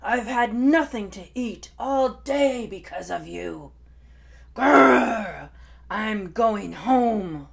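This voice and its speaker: A woman voicing a blustering, bossy male Tyrannosaurus big brother in a storybook, with loud, growling roars. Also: on the high side, slow, vocal fry, full character voice.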